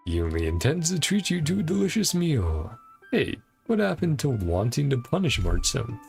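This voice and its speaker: A man's voice: mid-range voice, sing-song, moderate pace, gravelly, faint music behind. A man livestreaming a video game, chatting casually in rambling asides and reading dialogue aloud. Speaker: game streamer.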